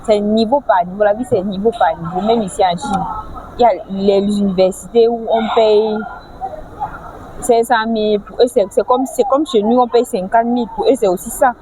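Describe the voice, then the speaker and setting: A woman's voice: medium pitch, fairly even intonation, normal speed. A woman from French-speaking Africa giving a casual advice vlog, lecturing with rhetorical questions and frequent restarts. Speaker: vlogger. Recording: clear background noise, vehicles.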